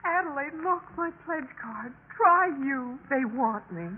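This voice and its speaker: A woman's voice: high voice, lively intonation, takes it slowly. Eager, swooping young college girl. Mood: excited, pleading. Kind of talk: 1950s radio drama acting. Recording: noisy, tinny 1950s radio recording.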